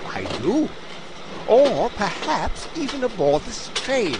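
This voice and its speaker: A theatrical gentleman detective, played by a man in a comedy audio drama. His high voice swoops about as he declaims, slow and self-dramatising. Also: big pitch swings, background noise, full character voice. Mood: decisive.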